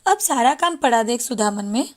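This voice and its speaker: A woman's voice: on the high side, animated, moderate pace. A woman reading scripted narration for a moral story as its narrator.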